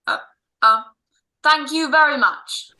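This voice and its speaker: A woman's voice: high voice, takes it slowly, pushed and bright, slight background noise, heavily acted, low-quality recording. A woman playing a polite, composed family doctor in a radio drama, soothing and a little hesitant. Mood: polite, brisk. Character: composed, reassuring family doctor on a house call. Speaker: amateur radio drama actor.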